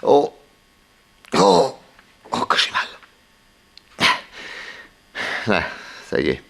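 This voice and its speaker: An actor playing a bold, wry detective with a brisk commanding manner in a 1960s French radio serial. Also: male voice, low voice, very animated, vocal fry, fairly bright tone, exaggerated voice. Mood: groaning.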